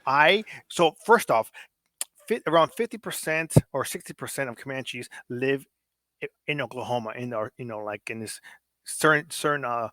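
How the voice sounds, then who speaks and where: A man's voice: medium pitch, moderate pace, pushed and bright, dry recording. A man born in Mexico whose first language is Spanish, with a Los Angeles Mexican American accent, talking politics on a podcast in a blunt, profane, heated way with many restarts. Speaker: podcast guest. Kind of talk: casual political discussion.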